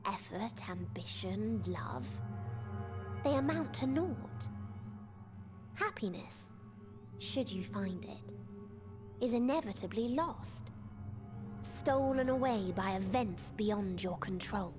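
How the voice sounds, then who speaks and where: Solemn, slow-spoken, doom-laden girlish being. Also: medium pitch, expressive. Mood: bleak, resigned. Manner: solemn, measured, fatalistic speech. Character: sorrowful, nihilistic young female hive-mind being from the stars. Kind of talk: video game cutscene acting. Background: music.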